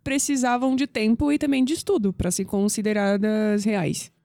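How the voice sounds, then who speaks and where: A woman's voice: mid-range voice, steady tone, moderate pace, gravelly, dark tone, dry recording, natural voice. A woman with a Brazilian Portuguese accent playing a skeptical, dryly sarcastic young woman in an audio drama. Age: young adult.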